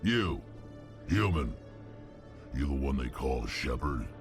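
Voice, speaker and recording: Gravelly, extremely deep alien mercenary. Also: male voice, very animated, slow pace, heavily acted. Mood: menacing, blunt. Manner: blunt, terse, menacing. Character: gruff, menacing alien mercenary, hulking and blunt. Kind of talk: video game voice acting. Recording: music behind the voice.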